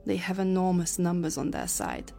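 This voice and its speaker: A woman's voice: lowish voice, wildly swooping pitch, moderate pace, gravelly, mellow, soft background music, full character voice. A woman playing an earnest, grave time-travel scientist in an audio drama. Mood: urgent warning.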